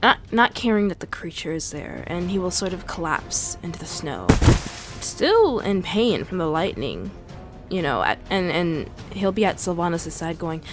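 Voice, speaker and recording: A woman's voice: lowish voice, sing-song, moderate pace. A woman playing a tabletop game, describing what the character does. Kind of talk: narrating own character's actions. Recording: faint music behind the voice.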